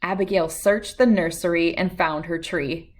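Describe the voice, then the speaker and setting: A woman reading a children's picture book aloud in an expressive storytelling style. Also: fairly low pitch, measured pace.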